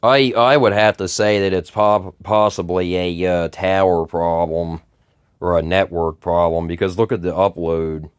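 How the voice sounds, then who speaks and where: Low-voiced, slightly raspy man. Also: fairly even intonation, measured pace, no echo, clean studio sound. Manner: rambling, many fillers and repeated words. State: a bit raspy from just waking up. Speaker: tech vlogger. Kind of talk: casual screen-recorded commentary.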